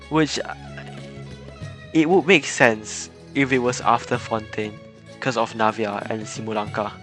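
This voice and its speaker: A man's voice: on the low side, steady tone, slow pace, long pauses, fairly bright tone, faint music behind. A young man chatting casually and laughing often while streaming a game. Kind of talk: casual live game commentary.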